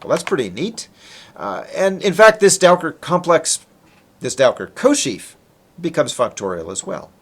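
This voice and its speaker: A man's voice: a little high, sing-song, unhurried, husky. A man lecturing on technical material in an informal, conversational way, posing questions and then answering them himself. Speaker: lecturer, researcher presenting.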